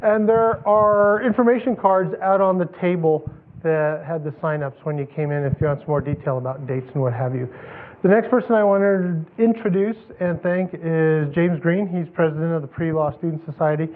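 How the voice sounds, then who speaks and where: A man emceeing a workshop, introducing speakers in a measured, polite way. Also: on the high side. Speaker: event emcee. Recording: phone-like line with faint noise.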